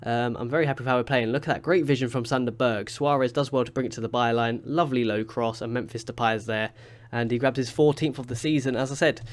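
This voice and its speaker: A man's voice: mid-range voice, fairly even intonation, fast talker, few pauses. A man with a stuffy, blocked-up voice from a cold, chatting casually and rambling as he comments on his football management game. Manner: chatty, rambling with fillers and restarts. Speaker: gaming YouTuber. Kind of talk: casual let's-play commentary.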